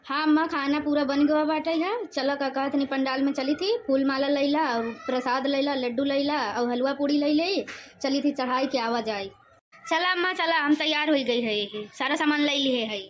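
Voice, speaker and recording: Woman playing a high-pitched rustic young daughter-in-law. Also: moderate pace, a little hiss or hum, lightly acted. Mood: busy, eager. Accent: eastern Uttar Pradesh. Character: busy, complaining young village daughter-in-law. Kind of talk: animated comedy cartoon dialogue.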